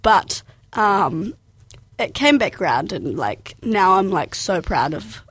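Husky young woman with a Kiwi accent. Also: mid-range voice, sing-song, takes it slowly, a bit bright, dry recording. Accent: New Zealand. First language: Arabic. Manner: rambling, fills with "like" constantly. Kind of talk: casual personal chat.

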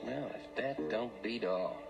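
An actor in a radio drama playing a laconic, folksy barroom regular with a drawling delivery. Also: male voice, mid-range voice, expressive, unhurried, gravelly, recorded from a distance, over music. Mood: wry curiosity.

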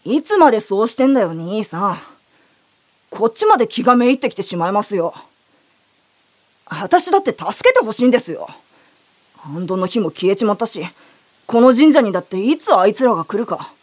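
A woman playing a brash, androgynous young youkai child with rough, slangy speech in an audio drama. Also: mid-range voice, very animated, fairly quick, long pauses. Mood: exasperated.

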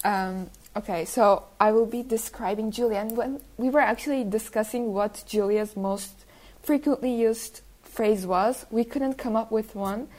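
A woman in her twenties from Turkey, co-hosting a casual podcast, careful with soft laughs. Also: on the high side, a little slow. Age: 20s. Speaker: podcast co-host.

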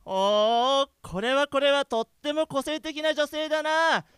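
A man's voice: very high voice, steady tone, measured pace, very noisy. A man playing a polite, mild-mannered blind older brother in a comedic radio drama. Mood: amused, courteous.

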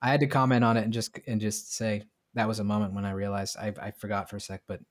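A man's voice: lowish voice, little pitch movement, fast. A man from the northeastern United States chatting casually in a hesitant, apologetic way. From Vermont, United States. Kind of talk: casual chat.

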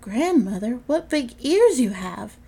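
A woman's voice: medium pitch, steady tone, brisk, dark tone, lightly acted. A woman voicing an innocent, chatty little girl from a fairy tale. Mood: wondering. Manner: naive, wondering.

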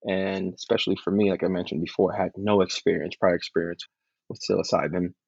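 A man from Liberia, a podcast guest, talking in an earnest, measured way about grief and healing. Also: low voice, level tone, creaky, a little mellow, slightly rough, no echo. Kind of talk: reflective interview talk. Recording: dull lo-fi call audio.

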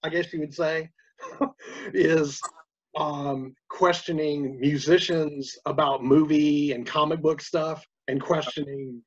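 A man's voice: a little high, steady tone, slow, slightly rough. Hesitant male interviewer, muffled sound. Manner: hesitant, many fillers. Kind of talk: casual interview.

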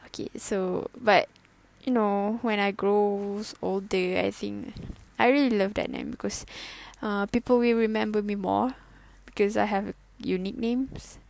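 Young woman, hesitant solo podcast talk, dry close sound, slow. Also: mid-range voice, fairly even intonation, creaky, darkish tone, no room sound. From Malaysia. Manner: hesitant, halting, with many fillers and self-corrections; giggly, self-conscious. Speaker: student podcaster. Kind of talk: casual solo podcast intro.

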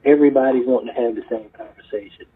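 A man's voice: medium pitch, fast talker, husky. A middle-aged man from South Carolina, a retired police chief telling his story in an earnest, rambling way as a podcast guest. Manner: earnest, storytelling, many fillers and restarts. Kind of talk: casual podcast interview. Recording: tinny phone-line audio.

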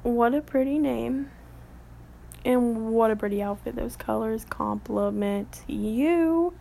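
A woman's voice: on the high side, takes it slowly, vocal fry, warm tone. A woman in her late teens giving casual, rambling commentary as a vlogger, with the odd whispered aside.

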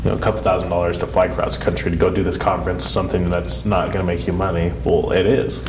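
A man's voice: low-pitched, little pitch movement, fast. A man with a congested, sniffly head-cold voice, chatting casually on a podcast in an informal, rambling, earnest way. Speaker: podcast co-host. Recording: echoey room with clear background noise.

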